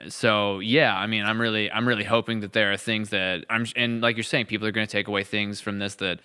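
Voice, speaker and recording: A man chats reflectively on a podcast as co-host. His voice sits fairly low yet sounds bright and very clean. He tends to restate a phrase before moving on. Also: flat delivery, a little fast, smooth. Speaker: podcast co-host, writer and series creator. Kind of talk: casual podcast discussion.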